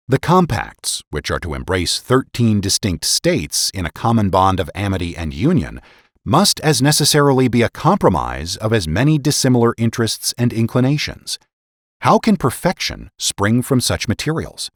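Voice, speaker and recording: Man, lower voice, lively intonation, formal oratory, emphatic essay reading, dry close sound. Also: no echo. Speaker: podcast host and narrator. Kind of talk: dramatic reading of historical political essays.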